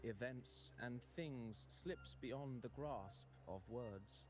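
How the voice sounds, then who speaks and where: An actor voicing a courteous, cryptic poet storyteller in a video game, speaking in a measured, formal, poetic way. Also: male voice, mid-range voice, close to an everyday voice. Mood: solemn, cryptic. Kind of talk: scripted video game character dialogue. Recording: game music under the voice. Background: game background music.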